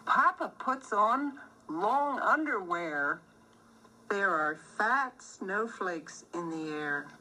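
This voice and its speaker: A woman reading a rhyming children's storybook aloud, phrasing it deliberately and clearly as scripted narration. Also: mid-range voice, animated, sharp tone, slight background noise, low-quality recording. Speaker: storybook reader.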